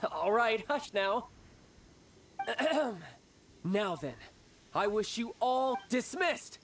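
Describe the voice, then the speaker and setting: Theatrical, lively young male officer. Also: high-pitched, very slow, slight background noise, heavily acted. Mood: brisk, approving. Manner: theatrical, smooth-talking, commanding. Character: confident young male warrior officer, doting elder brother. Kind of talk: video game character acting.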